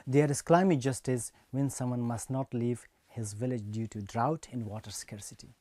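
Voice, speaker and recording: Young man from Afghanistan, measured prepared speech, mellow tone, dry close sound. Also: mid-range voice, fairly even intonation, no echo. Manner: earnest, measured delivery. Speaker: speech reader.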